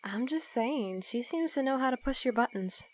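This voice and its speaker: A dry-witted young woman in an audio drama, played by an actress. She talks bluntly and teasingly, keeping her guard up in casual dialogue, with clear background noise and crickets around her. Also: a little high, lively intonation, moderate pace, lightly acted.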